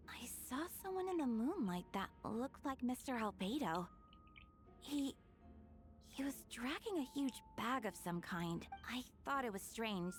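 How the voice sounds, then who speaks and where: A woman voicing a frightened young townswoman in a video game, speaking earnestly and hesitantly. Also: a little high. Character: frightened young townswoman giving witness testimony.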